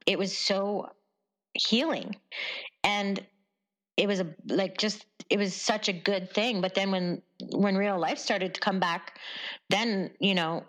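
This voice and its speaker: Canadian woman journalist, interview guest; earnest, reflective, quick to laugh. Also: mid-range voice, a bit bright. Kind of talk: casual interview conversation.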